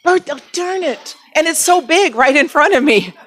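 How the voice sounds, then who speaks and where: A woman's voice: sits high, expressive, a bit bright. Chatty woman emceeing a club lunch. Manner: chatty, self-deprecating, quick to laugh. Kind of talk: casual meeting announcements. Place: banquet room at a lunch meeting.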